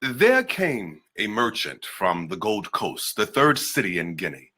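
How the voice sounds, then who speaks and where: A man's voice: fairly low pitch, huge pitch swings, unhurried, pushed and bright, heavily acted. A man giving a dramatic reading as an African prince recounting his childhood. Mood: earnest. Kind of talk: dramatic reading of a historical narrative.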